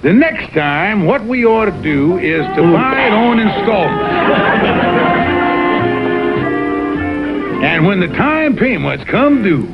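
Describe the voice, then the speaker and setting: A man's voice: high-pitched, big pitch swings, unhurried, exaggerated voice. A man voicing a scheming con-artist fox colonel in a 1960s cartoon, stammering and wheedling. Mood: chatty, scheming. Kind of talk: 1960s TV cartoon sitcom acting. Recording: dull vintage TV audio with music.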